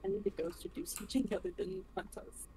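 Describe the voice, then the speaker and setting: A woman teammate on voice chat in a ghost-hunting game, animated and quick, scolding the ghost in jest. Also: very high voice, creaky, a little hiss or hum, poor audio. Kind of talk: casual voice chat.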